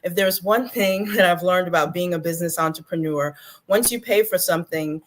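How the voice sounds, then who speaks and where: A woman from Brooklyn tells her story as a livestream guest. Her voice sits a bit low for a woman, and she speaks in an upbeat, articulate way over a video call. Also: brisk. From Brooklyn, New York. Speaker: guest, networking consultant. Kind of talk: livestream interview talk.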